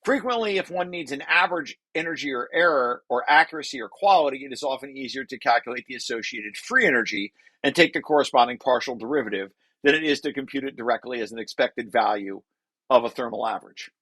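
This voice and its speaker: A man presenting a technical paper, reading passages aloud and breaking off into informal, halting explanations with repeated restarts. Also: medium pitch, a bit bright, breathy edge, no room sound.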